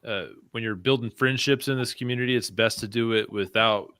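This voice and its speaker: A man's voice: mid-range voice, steady tone, brisk, fairly bright tone, smooth, no room sound, studio quality. A man co-hosting a livestream, talking in an upbeat, joking way with lots of fillers. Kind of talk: casual group chat on a livestream. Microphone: video call.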